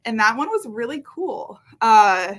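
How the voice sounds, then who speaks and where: A woman with a bright, forceful voice, a little higher than most, talking as a guest in a casual interview. She is talkative and energetic, with frequent laughs. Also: unhurried. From Washington state.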